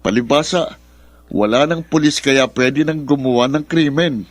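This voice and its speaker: A man's voice: medium pitch, unhurried, no room sound, natural voice. A man playing a bitter, sarcastic young adult son who talks accusingly in a radio drama. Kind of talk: Filipino radio drama acting.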